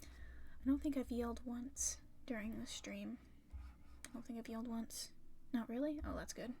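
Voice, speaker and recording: A young woman chatting casually and rambling on a livestream while drawing, swearing freely, her voice a little rough and raspy from talking for a long time. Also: a little high, moderate pace, dark tone, slight background noise. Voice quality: slightly raspy. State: tired, sore throat from long talking. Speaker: art streamer.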